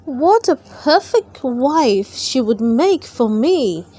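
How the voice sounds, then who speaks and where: A woman's voice: sits high, very animated, slow pace, dark tone, heavily acted. A woman voicing a brave, lovesick little toy soldier in a storybook, with a wistful, sighing manner. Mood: longing, sighing.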